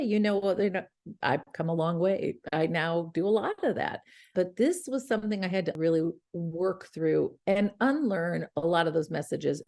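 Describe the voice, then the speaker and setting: A middle-aged woman speaks straight to her listeners as a podcast host, earnest and coaching. Her voice sits at an ordinary middle pitch for a woman, and she talks in a motivational, encouraging way. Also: darkish tone. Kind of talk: motivational talk.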